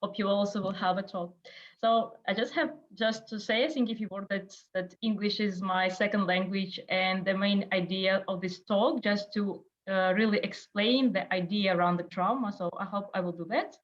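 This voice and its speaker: A woman whose first language is Russian gives a lecture over a video call. She speaks haltingly, fills gaps with uh and um, and searches for her words. The call audio sounds dull and lo-fi. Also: mid-range voice, steady tone. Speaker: guest lecturer. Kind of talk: online lecture in a second language.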